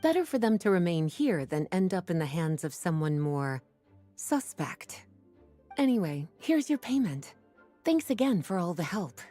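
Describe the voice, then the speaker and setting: Sultry flirty librarian in a game, soft mellow breathy voice, lilting, teasing, music behind. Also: female voice, on the low side, very animated. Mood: teasing, friendly. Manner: teasing, unhurried, composed. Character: languid, flirtatious, shrewd adult woman librarian. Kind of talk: video game voice acting.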